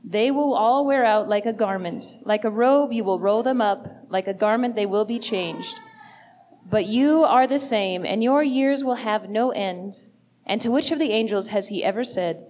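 A woman reading scripture aloud in church at a steady, measured pace. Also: fairly high pitch, a little hiss or hum. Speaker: scripture reader. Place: church sanctuary.

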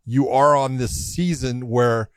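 A man's voice: medium pitch, steady tone, slow, fairly bright tone, dry recording, studio quality. A man co-hosting a casual sports chat, blunt and stammering at the start of his points. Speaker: podcast co-host, fighter.

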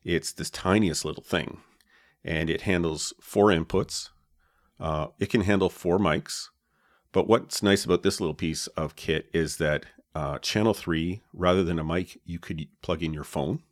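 Low-voiced man explaining gear. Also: measured pace, no room sound. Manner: relaxed, explanatory, many fillers. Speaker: podcast co-host. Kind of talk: casual chat about podcast gear. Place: home podcast setup. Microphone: dynamic podcast mic with pop filter and foam cover.

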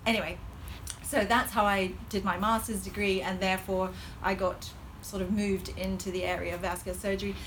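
A woman's voice: medium pitch, level tone, fairly quick, fairly bright tone, slight background noise, low-quality recording. Hesitant middle-aged woman with a Hong Kong colonial accent. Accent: Hong Kong colonial English. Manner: hesitant, laughs often, many ums. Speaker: vascular surgeon, guest. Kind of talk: podcast interview.

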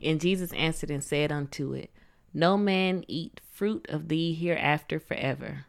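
A woman's voice: sits low, normal speed, gravelly, a little hiss or hum. A woman co-hosting a casual faith podcast, bantering playfully with her co-host and reading aloud from the Bible. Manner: playful, laughs often, reads scripture aloud.

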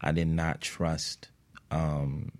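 A man speaking as a musician guest in a casual radio chat, with halting phrasing. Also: very deep voice, level tone, no room sound.

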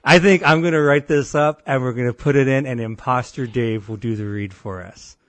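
A deep-voiced man co-hosting a podcast, telling a rambling story full of restarts and quoted voices. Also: brisk, no echo. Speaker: podcast co-host. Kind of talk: casual podcast banter.

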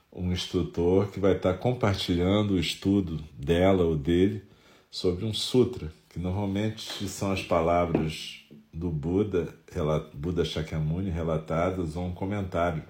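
A Brazilian man with a nasal, stuffy voice from a head cold, calmly leading a Zen meditation talk. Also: low-pitched. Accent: Brazilian Portuguese.

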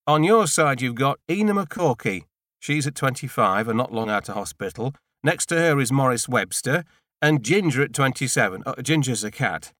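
A man's voice: medium pitch, expressive, normal speed, a bit bright, no room sound, studio quality, natural voice. A man voicing an awkward, plain-spoken male dog owner in an audiobook, hesitant and ramblingly polite. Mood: awkward politeness.

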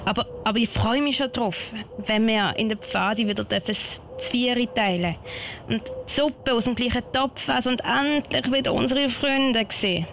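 A woman's voice: fairly high pitch, normal speed, fairly bright tone. A woman playing a young, earnest girl scout in an amateur radio play, chatting with a Swiss German accent. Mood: relieved, hopeful. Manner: chatty, thinking aloud. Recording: slightly noisy amateur recording.

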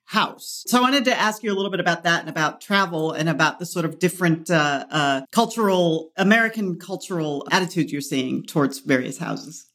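A woman's voice: lowish voice, expressive, brisk, hardly any pauses, a bit bright. A woman hosting a podcast interview, asking questions in a friendly, chatty way with thoughtful asides. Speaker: podcast host. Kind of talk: casual interview chat.

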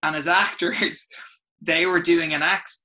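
Bright, lively Irish rugby coach. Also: male voice, on the high side, measured pace, low-quality recording. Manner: animated, expressive storyteller with many restarts. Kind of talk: interview storytelling.

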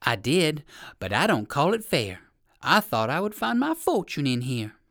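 Storyteller as a worried old gentleman rabbit. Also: mid-range voice, very animated, unhurried, no echo, studio quality. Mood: polite, dismayed.

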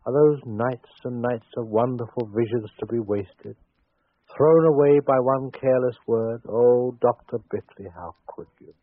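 A man's voice: medium pitch, a little slow, natural voice. A man playing a wry, ominous storyteller, narrating a murder tale for a 1940s radio drama. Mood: mock concern. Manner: wry, suspenseful. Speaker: narrator and host. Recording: tinny, scratchy 1940s radio broadcast.

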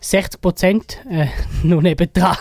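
A man's voice: sits high, steady tone, a little slow, darkish tone, no echo, studio quality. A twenty-year-old man with an eastern Swiss German accent, talking casually as an interview guest. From Herisau, eastern Switzerland. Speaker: interview guest, para ski racer.

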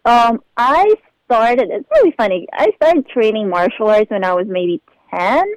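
A woman's voice: fairly high pitch, expressive, moderate pace, dry recording, rough recording. A bubbly young woman from the Philippines, chatting and laughing often as a guest in a casual interview. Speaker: show regular being interviewed.

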